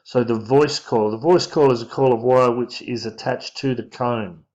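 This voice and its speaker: A man giving an informal physics lesson, talking through diagrams in a casual, halting way as he thinks aloud. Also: medium pitch, fairly even intonation, a little mellow. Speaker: physics teacher. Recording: dull lo-fi microphone sound.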